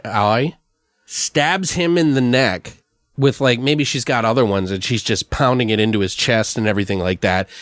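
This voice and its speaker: A man's voice: mid-range voice, clear, resonant tone, dry recording. Rambling man brainstorming on a dry mic. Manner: rambling, animated storytelling with swearing. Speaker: podcast host. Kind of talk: casual improvised brainstorming chat.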